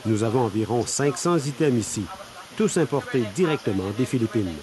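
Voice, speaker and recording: A man reading a voice-over translation of a shop owner, lively and explanatory. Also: mid-range voice, a little fast, crowd noise. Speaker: interpreter voicing a shop owner.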